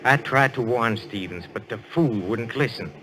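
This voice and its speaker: An actor playing a suave, assured detective in a radio mystery, speaking in a courtly, deliberate way. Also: male voice, mid-range voice, fairly bright tone, slight background noise, lightly acted, rough recording. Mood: patient, explaining. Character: suave, assured French detective, male, posing as a medium. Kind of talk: old-time radio mystery acting.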